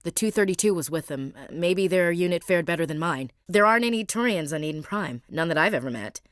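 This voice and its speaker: A woman's voice: fairly low pitch, expressive, a little fast, no room sound, studio quality, close to an everyday voice. An actress playing a tough, blunt young female marine in a video game, speaking in a direct, clipped soldierly manner. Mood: steady. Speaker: game character.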